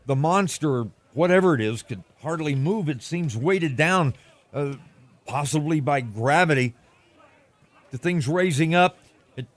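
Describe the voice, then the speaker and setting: An actor playing a frightened radio reporter in an old-time radio drama, stammering through an eyewitness account. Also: male voice, mid-range voice, dry recording, natural voice. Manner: stammering, breathless eyewitness description. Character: frightened male radio reporter at alien landing site.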